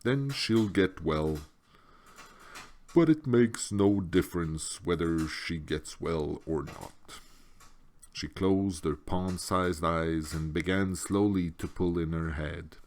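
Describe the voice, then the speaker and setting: Deep-voiced man reading a storybook, unhurried. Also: darkish tone, slight background noise. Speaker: audiobook reader. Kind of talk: storybook narration.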